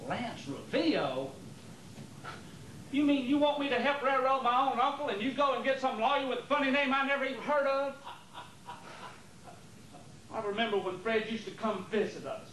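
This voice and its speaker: A man's voice: extremely high pitch, expressive, normal speed, pauses often, a bit bright. A man playing a troubled, loyal nephew who defends his uncle, in an amateur stage play. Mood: troubled, indignant. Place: theater during a rehearsal. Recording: roomy, noisy 1980s theater rehearsal tape.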